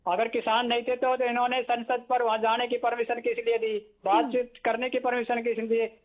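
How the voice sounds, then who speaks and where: Male panelist, very high but monotone delivery, critical commentary, dull lo-fi sound. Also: a little fast. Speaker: debate panelist. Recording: dull, lo-fi broadcast audio.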